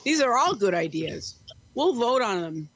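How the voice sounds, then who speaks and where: A woman's voice: on the high side, very animated, unhurried, dry recording. Matter-of-fact woman chairing a meeting, acted. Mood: brisk, orderly. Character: businesslike woman chairing an eccentric club meeting. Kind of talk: amateur audio play reading.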